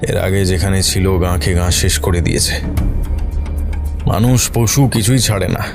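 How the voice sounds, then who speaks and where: A protective husband from a village as a male narrator plays him in a horror audio story. His voice is fairly low, grave and reassuring as he explains. Music runs clearly underneath. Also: a little slow. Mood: grave, emphatic. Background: music behind the voice.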